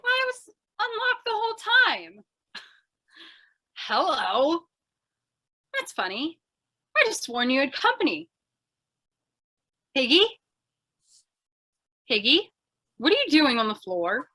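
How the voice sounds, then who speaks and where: A woman's voice: high-pitched, huge pitch swings, slow pace, long pauses, pushed and bright, full character voice. A woman playing a scatterbrained, chatty, eager young lady reporter, earnest and theatrical, in old-time radio crime comedy style. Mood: breezy, oblivious.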